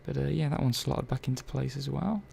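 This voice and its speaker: A man playing a curious, gently chatty robot repair technician in a soft-spoken ASMR roleplay, murmuring and thinking aloud in an unhurried, hesitant way. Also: mid-range voice, vocal fry. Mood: gently absorbed. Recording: faint background noise.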